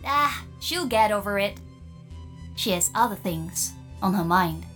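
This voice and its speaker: Cheeky, animated non-human companion. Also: female voice, mid-range voice, a little slow, soft background music. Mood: breezy. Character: cheeky, self-satisfied female non-human companion in space. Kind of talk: science fiction audio drama acting.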